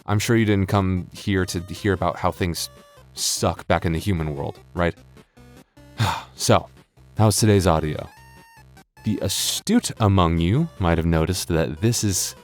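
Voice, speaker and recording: Joking, rambling American man, low voice. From United States. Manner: joking, rambling, exaggerated comic exasperation. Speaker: audio roleplay creator and voice actor. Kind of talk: casual chatty outro to listeners.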